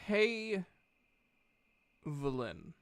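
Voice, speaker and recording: Male game master, informal and playful, smooth clear voice, very dry close sound. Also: high voice, sing-song, extremely slow, dry recording. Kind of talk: casual tabletop game narration.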